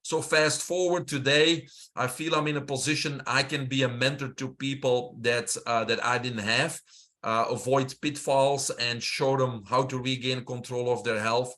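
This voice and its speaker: A middle-aged man from Belgium with a Belgian accent, a guest explaining his health work in a steady way, with frequent filler sounds. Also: medium pitch, normal speed. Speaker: guest, holistic health mentor. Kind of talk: interview answer, casual.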